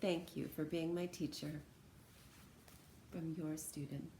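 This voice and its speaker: A woman's voice: deep voice, little pitch movement, slow pace, mellow, slight background noise. An American woman teacher talking informally and encouragingly to students as she reads aloud and demonstrates things. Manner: encouraging, conversational.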